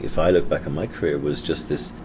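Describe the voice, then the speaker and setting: Low-voiced male host over hotel noise. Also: animated, quick pace. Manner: chatty, frequent affirming "yeah". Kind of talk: casual chat. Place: noisy hotel.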